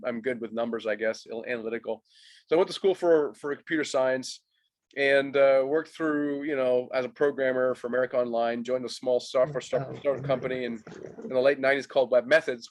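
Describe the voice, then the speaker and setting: A man in his forties who grew up in Germany tells his backstory in a brisk, matter-of-fact way, his first language being German. His mid-pitched voice sounds close and dry, with no room around it. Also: dry recording. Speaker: guest investor.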